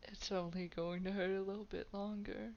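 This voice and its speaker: A woman voicing a haughty, menacing old vampire in a roleplay audio drama, breathy and gravelly, drawing out words with soft condescension. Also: medium pitch, flat delivery, a little hiss or hum, natural voice. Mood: sadistic, amused. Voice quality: breathy whisper with gravelly creak. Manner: slow, drawn-out, condescending, softly threatening. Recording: dull, lo-fi home microphone.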